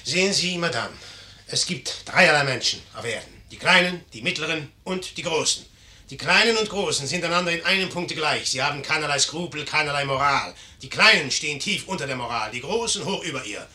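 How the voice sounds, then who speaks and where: An actor playing a proud, ambitious young Napoleon in an imperious, declamatory manner in a 1950s radio play. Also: male voice, a little high, animated, brisk, bright, forceful tone. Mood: grandiose.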